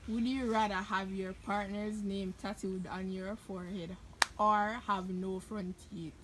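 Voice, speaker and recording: A woman's voice: medium pitch, steady tone, measured pace, background noise. A woman off camera reading out questions in a plain, matter-of-fact way.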